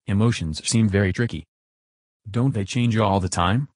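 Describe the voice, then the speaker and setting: A man plays an earnest chatbot companion for a podcast, agreeable and curious. The voice sits low, with a measured manner and a dry, close sound. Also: darkish tone, no room sound, close to an everyday voice.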